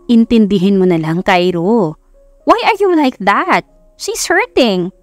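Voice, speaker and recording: A woman's voice: medium pitch, big pitch swings, slow pace, no echo, soft background music. Woman voicing a concerned Filipino mother. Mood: insistent. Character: gentle, concerned older wealthy mother of grown son.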